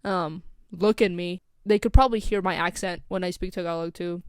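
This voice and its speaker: A woman's voice: lowish voice, steady tone, brisk, a little mellow, smooth, dry recording. A young woman with an American accent telling a casual, rambling story as a vlogger, full of "like" and "um". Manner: rambling, scatterbrained, lots of "like" and "um".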